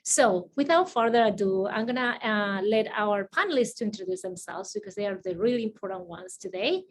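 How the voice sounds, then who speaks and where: Quick-talking woman with a Spanish accent. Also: medium pitch, lively intonation. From Spain. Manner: quick, with frequent fillers and restarts. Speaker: assistant dean moderating a panel. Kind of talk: moderating an online panel. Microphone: video call.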